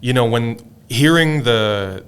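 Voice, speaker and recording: A middle-aged man from Indonesia, a rapper telling stories in a relaxed podcast chat, with lots of fillers and laughs. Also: mid-range voice, takes it slowly, clear, resonant tone. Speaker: guest rapper. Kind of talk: casual podcast interview.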